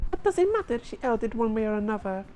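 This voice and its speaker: A woman voicing a flustered, fussy female baker who is a witness in a fan dub of a courtroom game; excitable and defensive. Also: a little high, a little hiss or hum. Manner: excitable, defensive, quick to snap.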